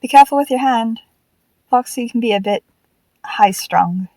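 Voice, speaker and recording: A woman's voice: fairly high pitch, moderate pace, mellow, no room sound, low-quality recording. A woman playing a young male animatronic puppet, shy, supportive and cheeky, in an audio drama. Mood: dry, caring.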